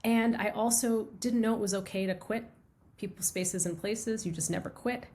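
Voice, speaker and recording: A middle-aged woman from the United States speaks as a guest on a podcast. Her voice sits at an ordinary middle pitch. She tells stories in an earnest, reflective way and comes back to phrases she has already used. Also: fairly even intonation, normal speed, darkish tone, slight background noise. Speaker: guest author. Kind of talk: conversational interview.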